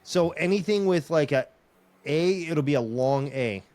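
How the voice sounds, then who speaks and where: A man's voice: on the high side, animated, a little mellow. A man with a slight Minnesotan accent co-hosting a podcast, chatting easily with lots of fillers. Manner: easygoing, filler-heavy, does quick voice impressions. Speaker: podcast co-host. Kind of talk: casual chat.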